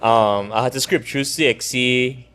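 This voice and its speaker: Trinidadian man, thirties, laid-back interview guest, meandering storyteller, middle-pitched voice. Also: measured pace, clear, resonant tone. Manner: relaxed, rambling with restarts and laughs. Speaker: barbershop owner, guest. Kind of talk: casual interview chat.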